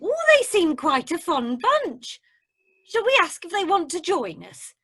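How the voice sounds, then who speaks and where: A woman's voice: extremely high pitch, sing-song, measured pace, sharp tone, no room sound, full character voice. A woman playing an eager, chatty cruise passenger in an amateur radio drama. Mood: cheerful, chatty. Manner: eager, sociable. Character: chatty, sociable woman passenger from the Welsh valleys.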